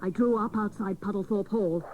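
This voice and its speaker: A dotty female private detective , played by an actress narrates a comic radio play. Her mid-pitched voice is deadpan and mock-dramatic, spoofing hard-boiled crime stories. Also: normal speed, very noisy, lightly acted.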